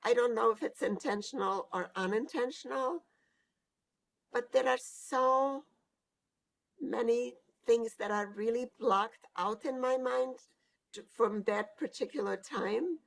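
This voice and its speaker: An elderly woman recalls her past in an interview. She speaks very slowly, with a gravelly, creaky edge and frequent thoughtful pauses. She sounds hesitant and reflective. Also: on the high side. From Hungary. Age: older. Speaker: Holocaust survivor giving testimony. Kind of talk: recorded oral history interview.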